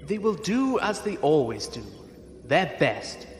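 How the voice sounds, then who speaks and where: A man's voice: on the high side, sing-song, slow pace, soft background music. A man playing a proud, urbane fleet admiral in an audio drama, suave, confident and formal, in an echoey reverb. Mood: proud, amused. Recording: echoey, long hall-like reverb.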